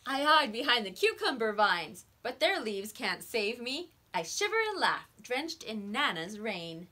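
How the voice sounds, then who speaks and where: A woman reading picture books aloud to young children like a teacher, with expressive emphasis on the words. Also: fairly high pitch, unhurried.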